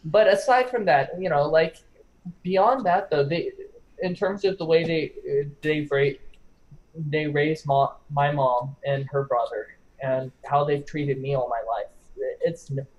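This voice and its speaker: Young male panelist, fairly high voice, casual, halting, hedging delivery with loose swearing. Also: darkish tone, highs cut off. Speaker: discussion participant. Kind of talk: casual chat. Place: online group call.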